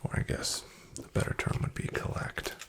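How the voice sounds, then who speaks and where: A man playing a shady, guarded back-alley card dealer in a soft-spoken ASMR roleplay, half-whispering his clipped, unhurried patter with a gravelly creak. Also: natural voice. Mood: cool, businesslike.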